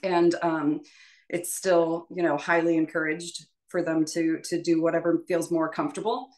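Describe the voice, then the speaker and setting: A woman with a slightly low voice teaches in an informal, conversational way. She sounds tentative and self-deprecating, often letting her explanations trail off. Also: little pitch movement, normal speed, a little mellow. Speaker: voice teacher leading a workshop.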